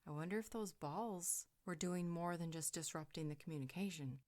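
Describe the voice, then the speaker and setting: Motherly protector for audio drama, female actor: composed, questioning, lowish register. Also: normal speed, a little mellow, close to an everyday voice. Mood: thoughtful, concerned. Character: calm, motherly woman, thoughtful and protective. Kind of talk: roleplay audio drama acting.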